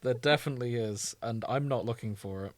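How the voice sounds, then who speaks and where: A man's voice: mid-range voice, fairly quick, no echo. A man co-hosting a podcast, chatting casually in a jokey, wry way. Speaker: podcast co-host. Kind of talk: casual chat.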